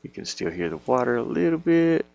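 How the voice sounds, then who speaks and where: A man's voice: medium pitch, big pitch swings, a little fast, vocal fry, a little mellow. A man working as an indie game developer, thinking aloud casually and muttering to himself in short fragments.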